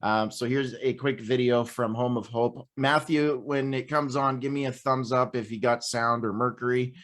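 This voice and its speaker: A man's voice: mid-range voice, steady tone, normal speed, a bit bright. Upbeat man hosting a casual livestream. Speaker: host of an online Bible read-along. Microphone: video call livestream.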